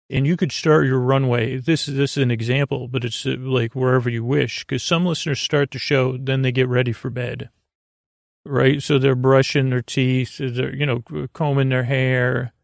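A man with a creaky voice hosting a bedtime podcast, meandering hesitantly through a soothing, goofy monologue that keeps interrupting itself. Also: medium pitch, level tone, normal speed, no echo, clean studio sound. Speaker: podcast host.

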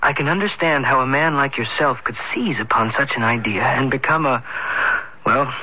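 An actor playing a practical, gently concerned friend, reasoning in a measured American radio drama style. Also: male voice, medium pitch, husky, close to an everyday voice. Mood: reasonable, encouraging. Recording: muffled vintage radio drama recording.

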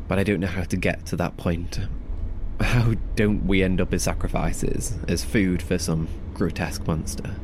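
An actor playing an uneasy, distracted man in a horror audio drama, talking in a hesitant, halting way. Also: low voice, fairly even intonation, darkish tone, natural voice. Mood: anxious, disbelieving. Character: uneasy, distracted man dreading a sacrificial cult. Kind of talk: naturalistic audio drama dialogue. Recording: faint vehicle noise behind.

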